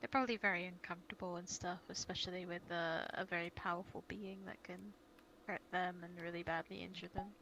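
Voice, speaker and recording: Bright-voiced woman roleplayer, noisy background. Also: on the low side, fairly even intonation, a little fast. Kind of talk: improvised in-character online roleplay chat. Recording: clear background noise and ambience.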